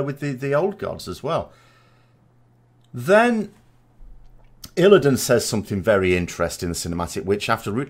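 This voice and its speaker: Guy doing an off-the-cuff gaming vodcast, really animated pitch, lowish voice, explains stuff emphatically. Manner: emphatic, explanatory, poses rhetorical questions. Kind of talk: unscripted opinion commentary.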